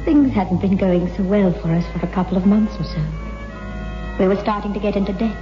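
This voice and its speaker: An actress playing a troubled, coaxing wife in a 1960s radio horror drama, with a dramatic, foreboding delivery. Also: female voice, medium pitch, brisk, close to an everyday voice. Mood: ominous. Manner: dramatic, foreboding narration and pleading dialogue. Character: troubled wife recounting a sinister tale, practical and coaxing. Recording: dull old radio recording, music behind.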